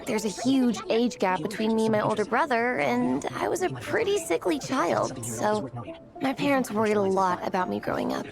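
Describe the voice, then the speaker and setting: An earnest young girl from a video game, with a high voice. She sounds unsure of herself yet determined, speaking in a hesitant, heartfelt way over clear background music. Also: expressive, normal speed. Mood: downcast, frustrated. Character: earnest young comic-artist girl, insecure but determined.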